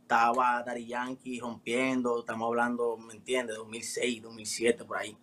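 A man in his twenties from the Dominican Republic with a Dominican accent, a rapper answering interview questions in relaxed slang. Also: mid-range voice, moderate pace. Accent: Dominican Spanish. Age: 20s. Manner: relaxed, street slang with frequent "me entiendes" tags. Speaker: rapper guest.

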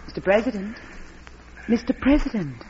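An actress playing a polite, tactful secretary to a president in a 1940s-style radio drama. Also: female voice, mid-range voice, very animated, moderate pace, warm tone, soft background music, close to an everyday voice. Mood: attentive. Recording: muffled old radio broadcast.

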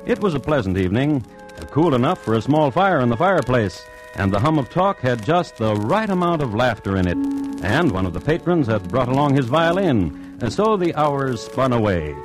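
An urbane male crime connoisseur, played by an actor hosts an old radio series. He is droll and deliberate, with a lively melodic delivery and a hint of music behind him. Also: medium pitch, close to an everyday voice, poor audio. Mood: droll, storytelling. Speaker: host and narrator. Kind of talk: 1950s radio crime narration.

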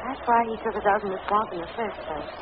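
A woman's voice: medium pitch, fast talker, close to an everyday voice. On a narrow, hissy vintage recording, an actress plays a poised society woman. She speaks thoughtfully and inquisitively, with the polished manner of 1940s radio drama. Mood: relieved, curious. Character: poised, inquisitive society woman, the crime-fighter's loyal companion.

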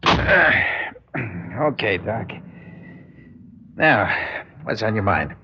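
An actor playing a tough, laconic, streetwise crook in an old radio crime drama, talking in casual slang. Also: male voice, lowish voice, big pitch swings, drawn-out words, creaky, heavily acted. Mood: eager, surprised. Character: tough, menacing, streetwise crook short of money. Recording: muffled, noisy old radio recording.

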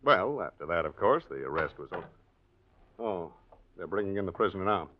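Matter-of-fact 1940s radio police lieutenant. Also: male voice, low-pitched, animated, brisk, slight background noise. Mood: businesslike, assured. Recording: muffled vintage radio recording.

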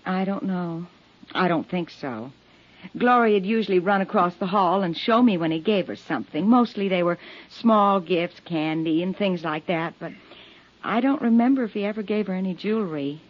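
An actress playing a flustered, defensive neighbor, hesitant and protesting under questioning in a 1950s radio drama. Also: female voice, lowish voice, normal speed, lightly acted. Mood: hesitant, cooperative. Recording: dull, lo-fi 1950s radio recording.

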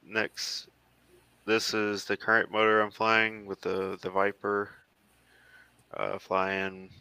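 An American man casually narrating a slideshow of his photos in a halting, unscripted way. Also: sits low, pushed and bright, clean tone, no echo. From Virginia and North Carolina, United States. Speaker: guest presenting his photos.

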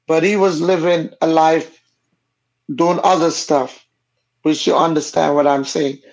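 A woman's voice: low-pitched, little pitch movement, a little slow, darkish tone. A woman with a Jamaican accent, whose first language is Jamaican Patois, frankly telling her own story as a guest in an interview. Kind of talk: personal storytelling in a casual interview. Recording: dull lo-fi audio.

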